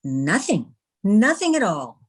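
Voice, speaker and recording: A woman playing a poised, smitten newspaperwoman in an old-time radio romance, measured, tender and ladylike. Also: medium pitch, very animated, slightly rough, heavily acted. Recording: video-call radio play recording.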